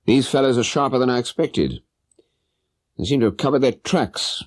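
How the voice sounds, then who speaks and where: This is a man performing a British gentleman detective from an audiobook story, coolly confident and urbane. His delivery is clipped and probing, with lively intonation and a dry, close sound. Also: medium pitch, moderate pace, no echo, voice pushed up, lightly acted. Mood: rueful. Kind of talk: audiobook character voice.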